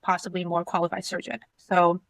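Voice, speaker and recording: A woman in her thirties, from China, openly telling her story as a podcast guest in a relaxed, matter-of-fact way. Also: lowish voice, fairly quick, creaky, dry recording. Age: 30s. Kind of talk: candid interview answers.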